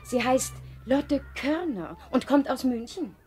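A young woman working as a helper in a children's home. Her voice is high and her manner dutiful, lively and coaxing, as in a children's audio drama. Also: slow. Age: young adult. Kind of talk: children's audio play acting.

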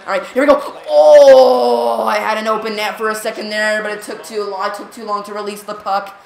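Woman gaming host; jumpy live reactions, repeats words when excited, echoey room. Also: medium pitch, a little fast, gravelly. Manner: jumpy, reactive, lots of "come on" and "here we go". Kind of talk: excited live gameplay commentary. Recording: roomy echo.

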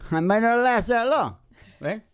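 A middle-aged man chatting casually and opinionatedly as a musician hosting a live show, often checking in with "right?". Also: sits high. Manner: opinionated, folksy, repeatedly asks "right?". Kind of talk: rambling casual chat between songs. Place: small dim room, live performance. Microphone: stand microphone close to mouth. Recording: acoustic guitar playing around the voice. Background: acoustic guitar music.

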